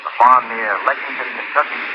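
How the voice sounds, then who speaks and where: A worried, businesslike insurance adjuster, played by a man talks in 1950s radio drama style. His voice is medium in pitch, behind clear noise on a dull old recording. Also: steady tone, brisk, close to an everyday voice.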